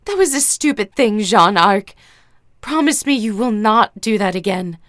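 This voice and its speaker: A woman's voice: medium pitch, expressive, a little slow, fairly bright tone, dry recording, studio quality. A woman playing a caring young female warrior in an audio drama, scolding out of concern. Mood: worried scolding.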